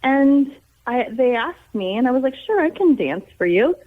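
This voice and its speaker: A middle-aged American woman telling her own story conversationally as a radio interview guest, in an animated way. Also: high-pitched, moderate pace. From Toledo, Ohio, United States. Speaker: interview guest, theater educator and director. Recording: narrow, tinny phone-like line.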